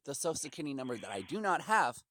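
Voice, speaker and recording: Male caller, aggrieved and sermonizing, highly animated intonation, dry close sound, rambling argumentative delivery. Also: rapid-fire, no echo. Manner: emphatic, aggrieved, preachy, acts out imagined quotes. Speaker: caller to customer service. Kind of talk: impassioned rambling argument on a phone call.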